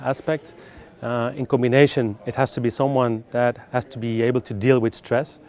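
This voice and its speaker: Guy being interviewed as a recruiter, non-native english, explains stuff in a measured hesitant way, average pitch. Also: a little mellow. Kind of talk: interview answers, explanatory talk.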